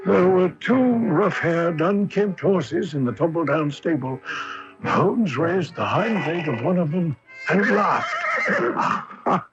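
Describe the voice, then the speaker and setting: Loyal puzzled sidekick, animated intonation, earnest, 1980s radio drama, faint music behind. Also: male voice, high-pitched, slow, full character voice. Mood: storytelling. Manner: hesitant, bewildered in dialogue. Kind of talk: 1980s radio mystery acting and storytelling. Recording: dull 1980s AM radio broadcast.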